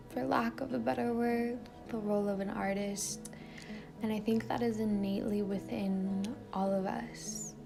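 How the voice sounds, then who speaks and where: A woman leading an impromptu guided meditation in a soft, gentle voice that often trails toward a whisper. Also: medium pitch, vocal fry. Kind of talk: impromptu guided meditation with casual rambling introduction.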